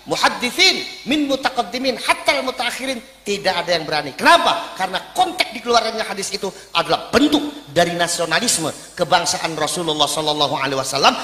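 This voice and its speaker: A man's voice: high-pitched, sharp tone. A man from Indonesia preaching publicly in emphatic oratory, driven by rhetorical questions. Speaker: Islamic preacher. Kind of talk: public religious sermon. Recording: echoey hall with long reverb.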